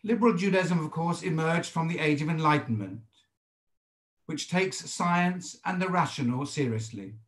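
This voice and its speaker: Older British rabbi, echoey room. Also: male voice, fairly high pitch. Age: 60s. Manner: measured, formal, deliberate delivery. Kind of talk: sermon. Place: indoors, home study with bookshelves. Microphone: computer microphone on a video call. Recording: echoey room over video call.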